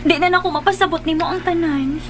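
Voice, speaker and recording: A young sweetheart in a Filipino radio soap. Her voice is very high, anxious and pleading as she tries to be understood. Faint noise sits behind it. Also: steady tone, fast, fairly bright tone. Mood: nervous pleading. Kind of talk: Filipino radio drama acting.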